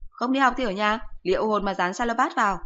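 A woman's voice: medium pitch, fast, dull audio, natural voice. A woman voicing a blunt, sarcastic middle-aged mother who nags her son. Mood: scolding. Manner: sharp, sarcastic nagging.

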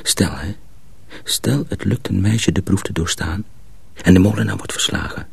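An actor playing a cautious, secretive young miller's apprentice in a radio drama, husky and often hushed, explaining things deliberately. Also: male voice, low-pitched, no room sound, heavily acted. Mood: earnest, hushed. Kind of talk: Dutch radio drama acting.

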